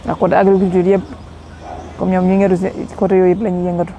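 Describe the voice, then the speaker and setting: Creaky, level-toned Senegalese woman. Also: on the low side, level tone, fast, dull audio, slight background noise. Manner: mixes Wolof with French terms. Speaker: local workshop participant. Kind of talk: spoken testimonial in interview.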